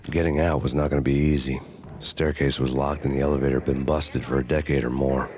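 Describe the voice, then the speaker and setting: An actor playing a weary, hardboiled cop who narrates a noir game in a flat, cynical deadpan. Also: male voice, very deep voice, fast. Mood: grim. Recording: game soundtrack music behind.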